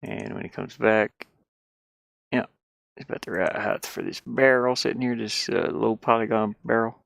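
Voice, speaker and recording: A man's voice: fairly low pitch, fairly even intonation, moderate pace, vocal fry, fairly bright tone, no room sound. A man giving casual think-aloud commentary as he works on a game, rambling and muttering to himself with repeated words. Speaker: game developer streaming his work.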